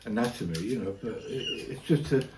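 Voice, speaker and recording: A Welsh man, an art critic, talking in animated, stumbling, sweary banter at a dinner table. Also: mid-range voice, fairly quick, recorded from a distance. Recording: echoey room, off-mic, faint noise.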